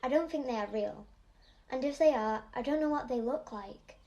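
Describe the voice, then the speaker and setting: A woman's voice: high-pitched, normal speed, warm tone, highs cut off. A woman playing a bookish, anxious eight-year-old Yorkshire girl in an audio drama monologue, speaking earnestly and precisely. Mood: earnest, curious. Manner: earnest, precise, matter-of-fact.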